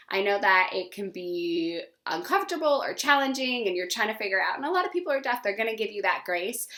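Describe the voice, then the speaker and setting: Bright-voiced woman presenting a lesson. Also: medium pitch. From East Coast of Canada. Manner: earnest, informal, enthusiastic about the topic. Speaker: teacher. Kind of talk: educational presentation video.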